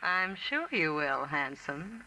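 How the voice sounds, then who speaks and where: Wisecracking detective's secretary, animated and piercing, breezy and bored, vintage radio drama with faint hiss. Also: female voice, low-pitched, slow pace, heavily acted. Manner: casual, wisecracking. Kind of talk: 1940s radio acting. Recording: noisy vintage 1940s radio recording.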